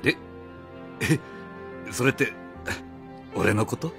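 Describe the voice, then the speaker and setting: An actor plays a middle-aged father, easygoing and kind, with a very expressive, swooping delivery. He is blunt and gently teasing, in a radio drama with music clearly behind him. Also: medium pitch, slow pace. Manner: casual, blunt, familiar. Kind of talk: Japanese radio drama acting.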